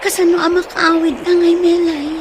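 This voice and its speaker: An actress playing an outspoken, exasperated young best friend in a radio drama, with an Ilocano accent. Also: female voice, extremely high pitch, level tone, normal speed, background noise.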